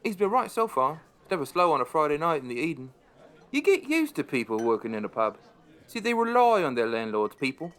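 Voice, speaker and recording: A man's voice: on the high side, sing-song, a little fast, fairly bright tone. An actor playing a wry, chatty pub landlord, narrating his story in a conversational way for an audio drama.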